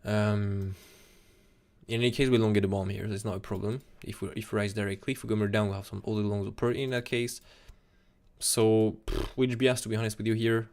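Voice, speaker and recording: A young man with a French accent talks quickly and casually on a live stream from his desk. His lowish voice has a gravelly fry, and he gets excitable, repeating himself and restarting his sentences. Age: young adult. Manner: excitable, repeats "absolutely insane", many restarts. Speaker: crypto chart analyst streamer.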